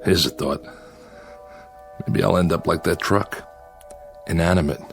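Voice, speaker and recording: An actor playing a lonely convict exiled on a desert asteroid in an audio drama. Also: male voice, low voice, unhurried, gravelly. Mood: brooding, weary. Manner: brooding to himself, eager and hospitable with visitors. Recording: music bed under the voice.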